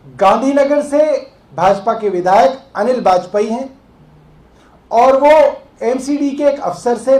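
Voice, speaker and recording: A man speaking as a political spokesperson at a press conference, explaining deliberately with pauses. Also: very high-pitched, a little mellow. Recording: roomy, echoing press room sound.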